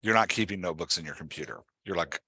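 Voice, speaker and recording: A man's voice: deep voice, fast, bright, forceful tone, husky, no echo. A man hosting a conversational coaching interview, explaining with examples and often checking that he is understood. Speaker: podcast host and writing coach.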